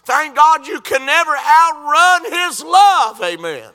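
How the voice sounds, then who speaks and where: A man preaching a sermon in a church, swinging from quiet talk to shouted crescendos. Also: very high-pitched, expressive, takes it slowly.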